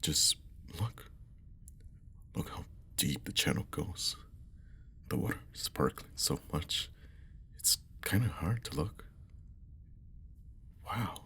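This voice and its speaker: A man acts out a caring young guy talking to an old crush in an ASMR roleplay. His voice is extremely deep and crackles with vocal fry. He speaks slowly and gently, half whispering in a shy, friendly way. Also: expressive, exaggerated voice. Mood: upbeat, marveling. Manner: soft, gentle, breathy half-whispered delivery, hesitant. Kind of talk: ASMR roleplay, intimate one-sided conversation.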